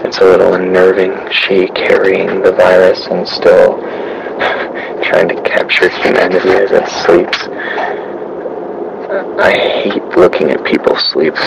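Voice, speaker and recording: A man recording a personal audio diary, talking in a quiet, brooding, introspective way over a noisy recording. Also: low-pitched, completely flat delivery, slow, gravelly, long pauses. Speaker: audio log narrator.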